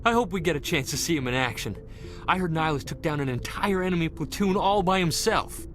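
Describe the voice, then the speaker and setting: A man acting an eager, restless young marine corporal in a video game, excitable and earnest. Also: fairly high pitch, fairly quick. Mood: keyed up. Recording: loud music behind the voice. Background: music.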